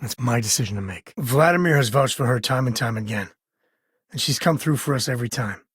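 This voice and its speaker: A rugged frontier spacefarer from a video game, an American man with a husky, medium-pitched voice. He speaks in a folksy, earnest way with a wry edge, like a protective father. The sound is dry and close. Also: expressive, a little fast, no echo. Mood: earnest, measured. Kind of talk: video game character voice acting.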